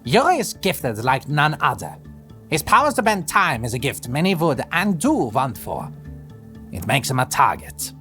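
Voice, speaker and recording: A man's voice: medium pitch, very animated, moderate pace, sharp tone, faint music behind. An actor playing a weary, theatrical immortal man who talks in florid, sardonic turns in an audio roleplay. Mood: wry, weary. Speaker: lead character in an audio drama. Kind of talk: ASMR audio roleplay acting.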